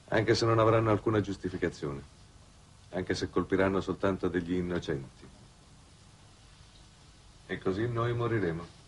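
An actor playing a weary, resigned exile from Earth in a 1960s television drama, speaking in a measured, grave way. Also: male voice, low voice, natural voice. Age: middle-aged. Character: weary, resigned, fatalistic man exiled on another planet. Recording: noisy old 1960s TV broadcast soundtrack.

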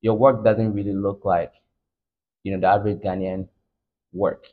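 A man with a low voice hosts a casual interview. He sounds in his thirties. The audio is thin and band-limited, like a phone line. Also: little pitch movement, normal speed. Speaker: interviewer, channel host. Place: furnished room, seated in armchairs.

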